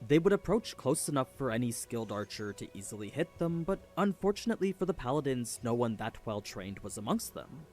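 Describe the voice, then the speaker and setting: A man's voice: medium pitch, very animated, fairly quick, hardly any pauses, darkish tone, faint music behind. A man giving a scripted story recap as a video narrator.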